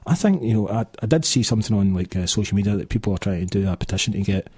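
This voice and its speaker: Fast-talking Scottish radio host. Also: male voice, fairly low pitch, expressive, mellow, slightly rough, no echo, studio quality. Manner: fast, chatty, lots of fillers. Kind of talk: casual radio chat. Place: radio studio.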